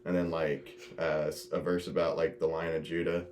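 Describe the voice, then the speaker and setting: A man's voice: extremely low pitch, little pitch movement, moderate pace, a little mellow. An eighteen-year-old man hosting a casual video chat, telling stories with friends in a slangy, informal way. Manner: slangy, informal, says dude and bro often. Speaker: host of a video podcast. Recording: noisy home-made recording.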